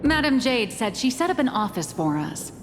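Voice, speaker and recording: A woman's voice: fairly high pitch, very animated, normal speed, noisy background. A woman voicing a dry, wry young comic artist in a video game, matter-of-fact in manner. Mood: polite, casual. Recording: long hall reverb, music behind.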